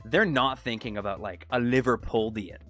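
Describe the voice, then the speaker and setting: Rambling Canadian streamer, quick-talking. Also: male voice, mid-range voice, a bit bright. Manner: rambling, quick-talking, self-conscious about his pronunciation. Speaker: game streamer. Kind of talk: casual live-stream chat over gameplay. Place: seated at his desk, leaning back in a chair. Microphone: desk mic set close to his mouth.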